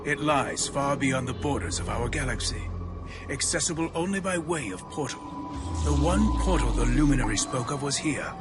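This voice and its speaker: An actor playing a deferential alien steward in a game cutscene, reporting respectfully. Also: male voice, mid-range voice, lively intonation, normal speed, lightly acted. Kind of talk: video game cutscene acting. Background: music score.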